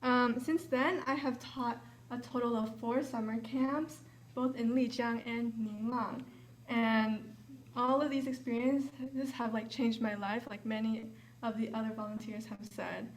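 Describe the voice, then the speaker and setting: A woman's voice: a little high, fairly even intonation, unhurried, poor audio. A young woman, a volunteer teacher, giving a casual, chatty talk, often saying "like" and "um". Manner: chatty, uses filler like and um. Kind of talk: casual presentation. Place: hotel event room.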